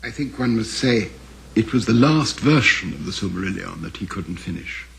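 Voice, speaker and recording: An older man, speaking in a halting, deliberate, scholarly way as he reflects in an interview on his father's work. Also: fairly low pitch, lively intonation, vocal fry, a bit bright, slight background noise. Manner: halting, deliberate, scholarly, with repeated self-corrections. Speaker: interviewee, author's son recalling his father.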